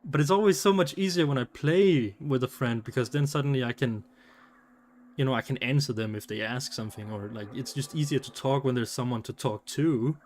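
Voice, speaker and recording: A man's voice: mid-range voice, brisk, darkish tone. Rambling Danish-accented man streaming. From Denmark. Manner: rambling, lots of fillers and restarts. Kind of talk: casual live-stream chat.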